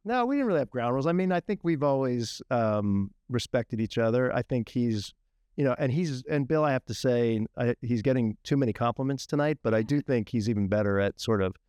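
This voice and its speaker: A man answering questions on a live panel, wry and deadpan, often stopping to restart his sentences. The sound is dry and close. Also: lowish voice, clean tone, no room sound. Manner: dry humor, halting restarts. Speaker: executive producer. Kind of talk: casual panel Q&A talk.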